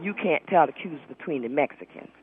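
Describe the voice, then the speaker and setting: Scolding woman caller with swooping pitch. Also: expressive, fast talker, husky. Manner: scolding, sassy. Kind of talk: call-in argument. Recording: tinny phone line.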